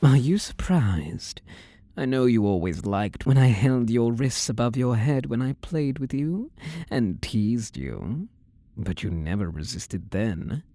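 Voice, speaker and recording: A man's voice: medium pitch, animated, slow, warm tone, no echo. A man playing a possessive demon-possessed ex-boyfriend in a scripted roleplay audio drama, condescending and teasing with coaxing endearments. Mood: smug, domineering. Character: controlling, possessive demon-possessed ex-boyfriend, smug and menacingly tender.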